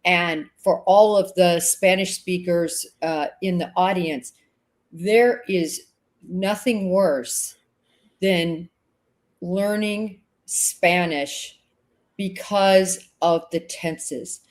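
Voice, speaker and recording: A woman hosting an interview, responding to her guest in a deliberate way, slowing down to stress key words. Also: fairly low pitch. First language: English. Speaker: host. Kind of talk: conversational interview.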